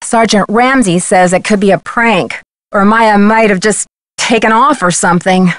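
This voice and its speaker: A woman's voice: mid-range voice, normal speed, dry recording, clean studio sound, close to an everyday voice. A woman voicing an earnest, articulate young female detective, worried and determined, in a video game. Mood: troubled, earnest. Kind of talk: video game voice acting, phone dialogue.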